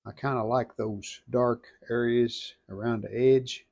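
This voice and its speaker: A man's voice: medium pitch, fairly even intonation, measured pace, creaky, a little mellow. A man narrating a hands-on restoration casually, thinking aloud in short, plainspoken phrases. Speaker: restoration video host.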